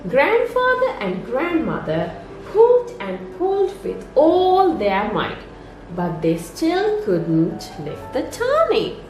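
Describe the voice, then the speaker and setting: A woman reading a children's story aloud as a nursery storyteller, in an expressive, sing-song way meant for young children. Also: high voice, slow. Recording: roomy echo, music underneath.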